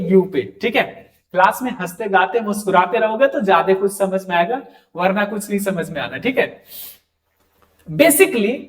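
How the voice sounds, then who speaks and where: A man with an Indian accent giving a French lesson to students. He keeps asking them questions and has them repeat words back to him. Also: sits high. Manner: talks to students directly and asks them to repeat after him. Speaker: French teacher. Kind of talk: classroom lecture.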